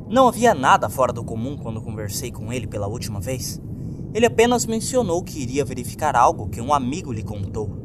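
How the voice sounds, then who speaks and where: A man's voice: mid-range voice, background noise. A man with a Brazilian accent reading a scripted horror story as a dramatic narrator. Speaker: creepypasta narrator. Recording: clear music bed behind voice. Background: music.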